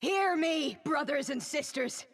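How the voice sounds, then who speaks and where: A woman's voice: high voice, animated, slow pace, heavily acted. An actress playing a zealous, grief-stricken rebel woman in a fantasy game, speaking in impassioned, declamatory oratory. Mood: fervent rallying.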